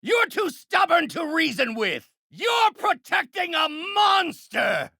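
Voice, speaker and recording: An actor playing a brilliant, bitter middle-aged scientist turned villain in a video game, with precise, articulate, grandiose delivery. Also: male voice, mid-range voice, sing-song, moderate pace, fairly bright tone, no echo, clean studio sound. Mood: enraged, shouting. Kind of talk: dramatic game character voice lines.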